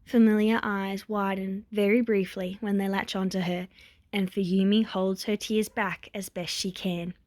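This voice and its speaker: A woman reading a story aloud as narrator, in expressive scripted narration. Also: mid-range voice, measured pace, no echo, clean studio sound. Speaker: fan fiction narrator.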